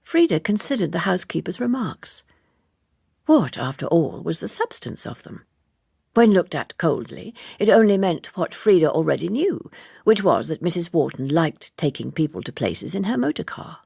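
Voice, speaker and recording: A woman reading literary narration as an audiobook narrator, measured and precise. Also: on the low side, very animated, vocal fry, a little mellow, breathy edge, no echo, clean studio sound.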